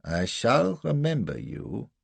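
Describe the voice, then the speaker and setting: A male reader plays a cold, pompous Prussian officer. His speech is formal and menacing, swinging widely in pitch, with a rough grain to the voice. He is an audiobook villain who demands respect. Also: huge pitch swings, takes it slowly, no echo, exaggerated voice. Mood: cold menace.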